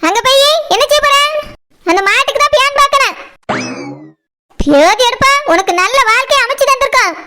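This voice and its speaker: Woman playing a shrill, bossy village elder sister. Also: expressive, a little fast, background noise. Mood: angry scolding. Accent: Kanyakumari Tamil. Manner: scolding, threatening, lecturing. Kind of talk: village comedy-drama cartoon dialogue.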